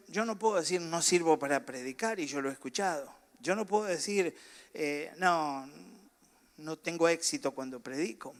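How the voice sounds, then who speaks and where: A middle-aged man with a Rioplatense accent preaching a sermon, chatting and joking with the congregation before building to fervent preaching, with a slight cough. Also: mid-range voice. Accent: Rioplatense Spanish. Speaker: pastor.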